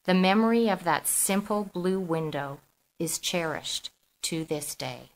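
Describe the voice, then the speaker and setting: A woman's voice: lowish voice, measured pace, rough recording. A woman from Toronto with a Canadian accent, speaking as an author presenting her writing. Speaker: author, podcast guest. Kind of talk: reading prose and talking about her book.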